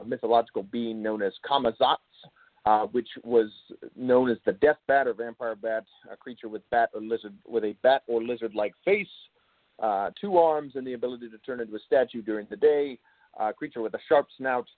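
Halting man on a tinny phone-like line. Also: mid-range voice, no echo. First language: English. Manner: halting, frequent filler pauses. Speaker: show host. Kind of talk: informal solo explainer monologue.

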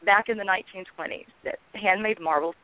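A woman guest telling her story in a phone-in interview, earnest and halting, with frequent ums. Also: mid-range voice, no room sound. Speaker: guest, founder of a peace blogging network. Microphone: telephone. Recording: tinny phone line, dry.

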